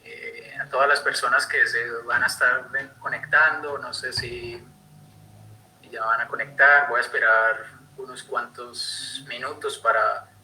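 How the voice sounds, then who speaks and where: A man's voice: medium pitch, pushed and bright, slight background noise, low-quality recording. A young man from Colombia with a Colombian accent, giving an online conservation talk with many fillers and restarts. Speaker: conservation speaker. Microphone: computer mic on video call.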